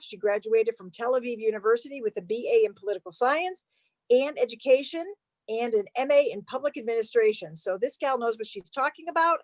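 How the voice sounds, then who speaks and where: A woman's voice: on the high side, no room sound, low-quality recording. A woman hosting an online event, introducing the guest speaker by reading her background and stumbling a little over the details. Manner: reading a bio with small stumbles. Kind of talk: introduction from notes. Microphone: video call.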